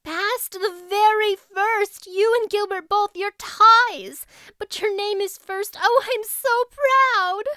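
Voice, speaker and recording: A woman voicing an excitable, gushing teenage girl, a best friend in a storybook reading. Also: very high voice, slow, no echo, voice pushed up, exaggerated voice. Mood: ecstatic, shouting. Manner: gushing, exclamatory.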